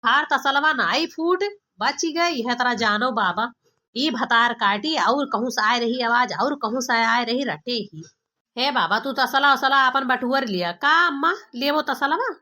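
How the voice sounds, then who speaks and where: A woman's voice: on the high side, unhurried, bright, forceful tone. A woman voicing a young village daughter-in-law with an Awadhi accent, complaining dramatically and colloquially in an amateur cartoon comedy. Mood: flustered, evasive. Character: exasperated, embarrassed young village daughter-in-law, gossipy and scolding.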